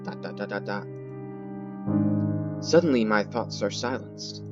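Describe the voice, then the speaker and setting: A man with a fairly low voice reads horror game text out loud. He is theatrical and halting, often repeating words and slipping in his own remarks. The sound is somewhat dull, with loud guitar music playing behind him. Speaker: gaming video host. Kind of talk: reading horror game text aloud dramatically, with ad-libbed comments.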